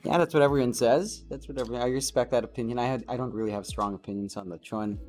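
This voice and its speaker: Filipino male host, middle pitch, easygoing, reads chat aloud, faint guitar behind. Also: steady tone, quick pace, darkish tone. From Philippines. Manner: easygoing, reads out chat messages and answers them. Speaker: podcast host. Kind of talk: casual podcast chat, reading chat messages.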